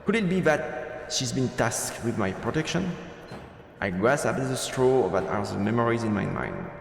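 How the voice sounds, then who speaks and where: A man giving hesitant live let's play commentary on a visual novel and reading its narration aloud, thinking out loud as he plays. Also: medium pitch, big pitch swings, normal speed, creaky. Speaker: streamer playing a visual novel. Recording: echoey room with long reverb, game music behind.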